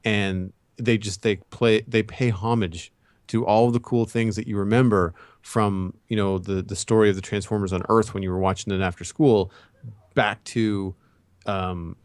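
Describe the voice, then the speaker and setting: A man's voice: lowish voice, steady tone, normal speed, darkish tone, dry recording, studio quality. A man co-hosting a casual pop-culture chat, talking in a rambling, opinionated way. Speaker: podcast co-host.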